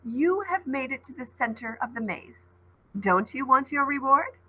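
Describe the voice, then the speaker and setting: A woman's voice: high voice, animated, normal speed, pushed and bright, husky, pitched above the performer's own voice, exaggerated voice, poor audio. A woman voicing a sinister, boyish supernatural trickster in a storybook, taunting and smug in a theatrical way. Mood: gleeful, taunting.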